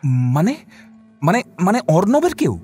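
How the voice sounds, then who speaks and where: Eager junior cop, husky, highish voice, super respectful n easily amazed, audio drama. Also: male voice, huge pitch swings, unhurried, mellow, no echo, soft background music, heavily acted. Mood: startled. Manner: respectful, stammers when surprised. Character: deferential, earnest male police subordinate, easily astonished. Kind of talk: Bengali audio drama acting.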